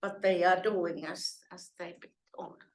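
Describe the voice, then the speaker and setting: A woman with a lowish voice, recorded in a roomy, echoing space. Her remarks in the workshop discussion come out dry and wry. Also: fairly even intonation, a little slow. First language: Finnish. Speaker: workshop participant. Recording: roomy echo.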